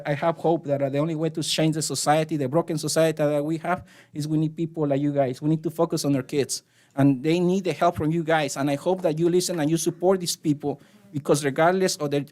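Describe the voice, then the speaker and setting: A man with a Spanish accent, a native Spanish speaker, speaking earnestly and haltingly during public comment at a city council meeting. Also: fairly high pitch, a little mellow. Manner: earnest, halting, with frequent restarts. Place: council chamber.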